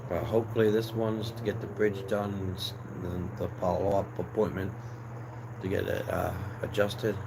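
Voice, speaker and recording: A young man with a lowish voice and a hoarse, fading throat chats on a home livestream, wandering from thought to thought with lots of ums and restarts. A steady hum of background noise sits clearly under him. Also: normal speed. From New Jersey, USA. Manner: rambling, relaxed, many fillers and restarts. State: losing his voice. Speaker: livestream host. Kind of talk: casual live chat.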